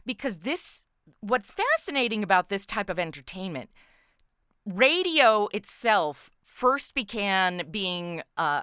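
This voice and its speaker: Bright, clear-voiced woman, enthusiastic podcast guest. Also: mid-range voice, no room sound, studio quality. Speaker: podcast guest, audio drama writer and actor. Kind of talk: casual interview chat.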